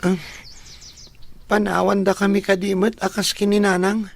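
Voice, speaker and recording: Woman acting a creaky-voiced, worried young boy. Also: mid-range voice, flat delivery, normal speed, no room sound. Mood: upset, worried. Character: worried young boy, responsible older brother. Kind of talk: radio drama acting.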